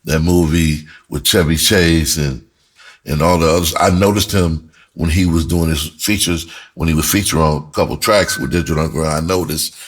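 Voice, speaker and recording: A man with a deep, rough-edged voice chats as a storyteller. His delivery is casual and animated, peppered with swearing and slang, and he circles back to repeat phrases for emphasis. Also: normal speed. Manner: breaks into laughter often while talking. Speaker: screenwriter and performer telling his own story.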